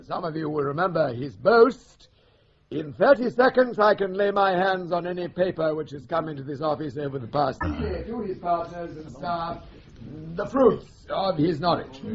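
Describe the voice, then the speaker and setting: An actor playing a pompous senior law partner in a British radio drama, delivering a grandiloquent formal speech in an English accent. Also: male voice, high-pitched, highs cut off.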